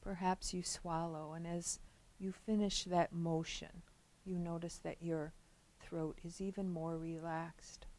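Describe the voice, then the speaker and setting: A woman leading a guided relaxation as a meditation instructor, speaking gently and without hurry, with long pauses between phrases. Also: fairly low pitch, flat delivery, rough recording.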